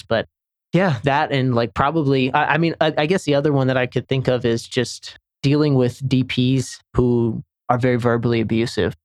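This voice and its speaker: A man's voice: medium pitch, no room sound. A young man from Senegal, a camera assistant chatting casually on a podcast, rambling with many restarts.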